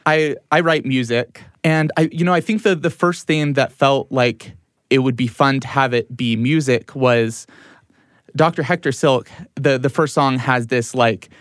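Relaxed man in a dry studio. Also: medium pitch, measured pace, dry recording. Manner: relaxed, repeats words as he thinks. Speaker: guest writer and musician. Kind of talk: casual interview chat. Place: radio studio.

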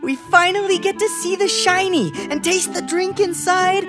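A woman playing a young male flying squirrel, an excitable, impulsive and loyal friend, in a radio drama. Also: very high-pitched, a little slow, music in the background. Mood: excited. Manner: eager, impulsive.

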